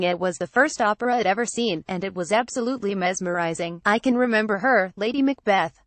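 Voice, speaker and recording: A woman reads a written article aloud as a scripted narration. Her voice sits at a middle pitch for a woman. The recording is very dry and close, with no sense of a room around it. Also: no echo. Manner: reads captions, credits and quotes straight through.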